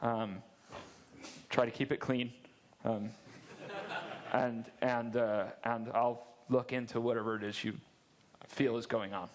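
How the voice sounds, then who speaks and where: A man's voice: medium pitch, steady tone, measured pace, long pauses. A man in his thirties fielding audience questions at a convention talk on a headset mic, candid and joking, often restarting his words. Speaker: security presenter at a game convention. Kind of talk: conference Q&A answers. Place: large dark convention stage.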